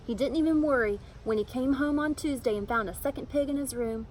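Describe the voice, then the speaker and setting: A woman reading a children's picture book aloud in an animated, emphatic storytelling manner. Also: high voice, fairly quick, a little hiss or hum, rough recording. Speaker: storybook reader.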